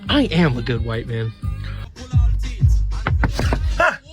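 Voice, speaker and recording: A man's voice: medium pitch, wildly swooping pitch, slow, music in the background, pitched below the performer's own voice. A man playing a flustered, exasperated guy pestered for spare change in a comedy sketch, hesitant and incredulous.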